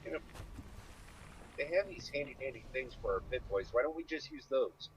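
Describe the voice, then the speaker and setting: A man's voice: medium pitch, animated, normal speed, a little hiss or hum. Hesitant man on a dull, lo-fi mic. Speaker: gamer on voice chat. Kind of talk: casual chat while gaming.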